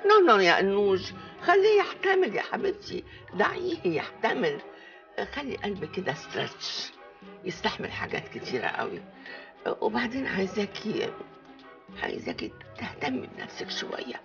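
Older Egyptian auntie, TV comedy, bossy, cunning, theatrical drawl, fry in the voice, animated melody. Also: female voice, medium pitch, moderate pace, dull audio, over music. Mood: coaxing. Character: bossy, theatrical older aunt giving cunning marital advice. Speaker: actor in a TV comedy series. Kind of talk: comic TV drama dialogue. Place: living room set, indoors.

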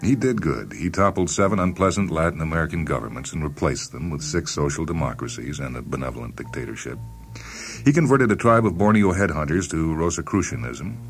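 A man narrating a short story in a measured, deliberate, dry deadpan way, as a scripted dramatic reading. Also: very deep voice. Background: background music.

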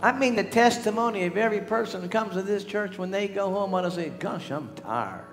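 An older man, a healing evangelist, praying and preaching with fervor on a large church stage, at times breaking into shouts and song. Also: high-pitched, animated, a little fast. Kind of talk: revival preaching and prayer. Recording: long hall reverb with music behind.

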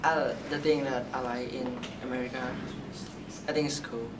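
A man's voice: medium pitch, little pitch movement, normal speed. A sixteen-year-old male exchange student from Thailand with a Thai accent, introducing himself to camera. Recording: distant mic, loud background noise.

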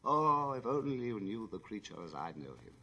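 A man's voice: fairly low pitch, very animated, normal speed, sharp tone, exaggerated voice. A man voicing a capricious, coquettish young woman with a coaxing, lightly raised tone in a storybook reading. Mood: coy.

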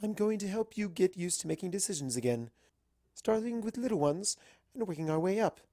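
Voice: mid-range voice, animated, a little fast, mellow, dry recording, studio quality, pitched above the performer's own voice. A narrator voicing a refined, bossy but caring female unicorn pony with formal, genteel phrasing. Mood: firm, caring.

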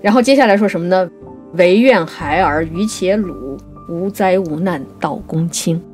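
A woman co-hosting a poetry programme, explaining classical literature conversationally and slowing down to recite quotations. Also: lowish voice, darkish tone, soft background music. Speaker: writer and co-host of a poetry programme.

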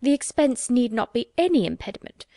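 A woman voicing an officious, self-important older widow who talks insistently and loudly. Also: fairly high pitch, sing-song, brisk, no room sound, clean studio sound, pitched above the performer's own voice. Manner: insistent, loud, fussy.

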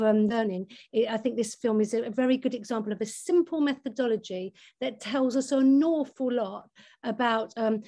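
A woman's voice: a little high, dark tone. A middle-aged woman leading an academic session over a video call from a room at home, talking earnestly with frequent restarts. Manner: earnest, restarts and repeats words, gestures while talking. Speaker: keynote speaker leading the session. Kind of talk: academic online talk. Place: home room with bookshelves and window. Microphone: laptop webcam on a video call.

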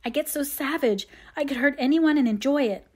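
A woman's voice: sits high, a little fast, a little mellow, pitched above the performer's own voice, natural voice. A woman voicing a passionate, remorseful fifteen-year-old girl in a storybook, earnest and pleading. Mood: anguished pleading.

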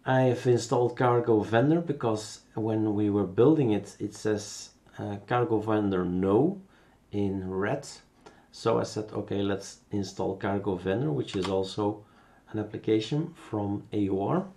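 A man with a Belgian accent giving a casual, unscripted software tutorial, thinking aloud as he works. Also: fairly low pitch, unhurried.

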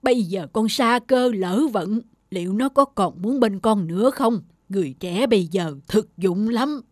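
A woman voicing a worried, suspicious elderly mother-in-law with a reproachful manner in an animated drama. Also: mid-range voice, measured pace, slight background noise, lightly acted. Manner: fretful, reproachful. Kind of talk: animated moral drama voice acting.

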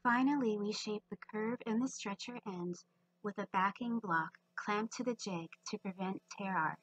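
A woman's voice: medium pitch, normal speed, husky, poor audio. A woman giving scripted instructional narration for a woodworking video.

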